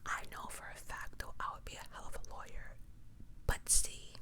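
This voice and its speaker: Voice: normal speed, sharp tone. An ASMR host speaking entirely in an unvoiced whisper, giving true crime commentary with conversational, opinionated asides.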